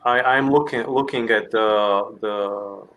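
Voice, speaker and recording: A man from Slovakia with a Slovak accent, speaking halting English as a learner in casual conversation and searching for words. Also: lowish voice, fairly bright tone, a little hiss or hum.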